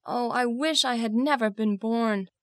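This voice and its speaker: An actress playing an earnest, proud young governess, speaking with careful, emotional delivery in a dramatized classic novel. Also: female voice, on the high side, expressive, unhurried, dry recording, clean studio sound. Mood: heartfelt sorrow. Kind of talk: first-person dramatized storytelling of classic novel.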